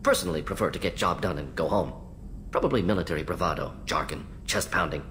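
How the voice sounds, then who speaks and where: A man's voice: sits low, fast, a bit bright, slight background noise. An actor playing a restless male alien scientist in a video game, speaking in clipped, telegraphic sentences without articles. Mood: clipped, brisk.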